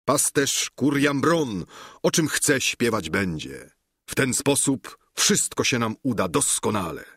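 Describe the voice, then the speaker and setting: A man's voice: medium pitch, sing-song, takes it slowly, a bit bright, husky, no room sound, studio quality, full character voice. A man voicing a lofty, idealistic knight who speaks in grand, flowery phrases. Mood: enthusiastic. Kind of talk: audiobook character reading.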